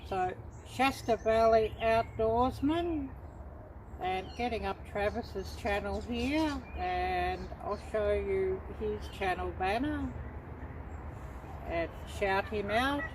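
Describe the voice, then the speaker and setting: A middle-aged woman from Australia hosting a casual livestream, chatting unhurriedly to viewers with many pauses and ums. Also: mid-range voice, animated, noisy background. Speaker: livestream host.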